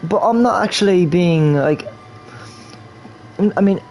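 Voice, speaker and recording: A man's voice: sits high, a little slow, a little mellow, soft background music. A man from New York rambling through casual game stream commentary, repeating himself and slipping in and out of a put-on English accent. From New York, USA.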